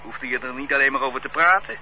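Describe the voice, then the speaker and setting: A man's voice: mid-range voice, fast, natural voice. An actor playing a chatty barber who teases his friend with chuckles, in a radio play. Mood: teasing. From Netherlands. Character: Nathan, chuckling barber, content and teasing. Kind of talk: radio drama acting. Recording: dull lo-fi radio play recording with faint hiss.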